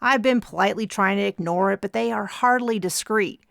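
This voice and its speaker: A woman's voice: mid-range voice, gravelly, dry recording, clean studio sound. A woman doing a drawling, weary man. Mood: exasperated. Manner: slow drawl, exasperated.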